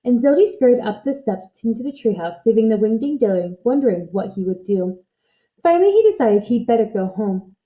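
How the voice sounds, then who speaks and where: A woman reading a children's story aloud in an expressive narrating style. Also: on the high side, fairly quick, muffled recording. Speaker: storybook reader.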